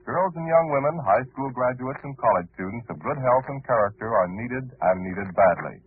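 A man's voice: mid-range voice, lively intonation, moderate pace. A man with an American accent reading scripted announcements as a 1940s radio announcer. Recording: slightly noisy phone-like old radio recording.

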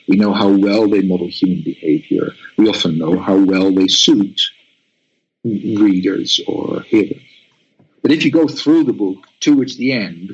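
A man's voice: fairly low pitch, slightly rough, highs cut off. A man whose first language is Dutch, a guest researcher answering interview questions thoughtfully, with many restarts and tag questions.

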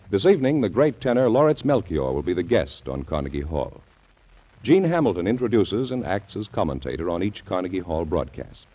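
A male announcer with a lowish, lively voice, reading a smooth promotional spot. The sound is muffled, like an old radio broadcast.

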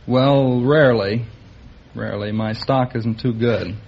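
A man's voice: medium pitch, steady tone, a little slow, slightly rough. A man answering as a quiz contestant in a hesitant, understated way. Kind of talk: unscripted interview answers. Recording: vintage radio, dull, faint noise.

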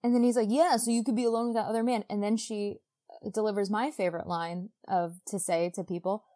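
A woman's voice: mid-range voice, fairly even intonation, brisk, warm tone. Female podcast co-host, informal comedic recapper who slips into characters' lines in slangy modern speech. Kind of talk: casual comedic book recap.

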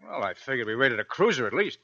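Brash, sharp-voiced griping sailor. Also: male voice, mid-range voice, sing-song, quick pace, vocal fry, exaggerated voice. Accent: American. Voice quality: slangy, uneducated speech. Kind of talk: 1940s American radio drama acting. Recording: dull 1940s radio recording.

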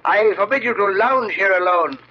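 An actor playing a stern, disapproving older man, curt, formal and halting, in 1940s radio drama style. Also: sits high, expressive. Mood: stern, displeased. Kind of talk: 1940s radio horror acting. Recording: muffled, noisy old radio recording.

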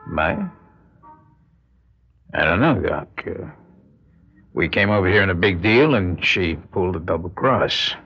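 A deep, creaky-voiced male con man, world-weary and wary. He talks in a wry, street-wise way, like classic radio crime drama, on a dull lo-fi recording. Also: lively intonation, a little slow, long pauses, heavily acted. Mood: evasive. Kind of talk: classic radio drama acting with first-person narration.

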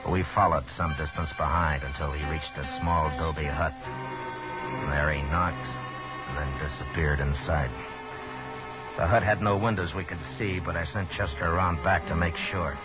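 A man's voice: extremely low pitch, fairly even intonation, normal speed. An actor playing a stern, weary Old West lawman in a 1950s radio western, terse, commanding and dry. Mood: grim narration. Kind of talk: 1950s radio western acting and first-person narration. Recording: muffled old 1950s radio recording, noisy, music.

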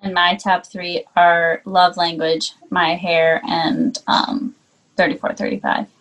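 A woman co-hosting a casual music chat, relaxed and often answering in short replies. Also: fairly low pitch, slow, poor audio. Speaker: co-host reviewing music.

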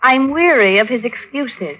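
A woman's voice: fairly high pitch, lively intonation, measured pace. An actress playing a playful, demanding young woman with an insistent, teasing manner in an old-time radio drama. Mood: weary. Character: playful, demanding young woman pressing her married lover. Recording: tinny, hissy old radio recording.

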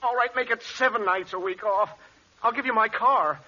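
An actor playing a millionaire who pleads and bargains desperately in a 1940s radio comedy. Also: male voice, extremely high pitch, moderate pace, bright, forceful tone, exaggerated voice. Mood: pleading. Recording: muffled old radio recording.

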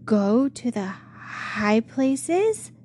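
A woman's voice: high voice, measured pace, darkish tone, slight background noise, pitched above the performer's own voice. A woman playing a fearful, pleading young maiden. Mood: longing. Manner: anxious, tearful pleading.

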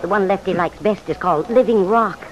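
An actress playing a kindly, proud older mother in an old-time radio serial, speaking gently and unhurriedly. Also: medium pitch, brisk, muffled recording, natural voice. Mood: warm, fond. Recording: noisy vintage radio broadcast.

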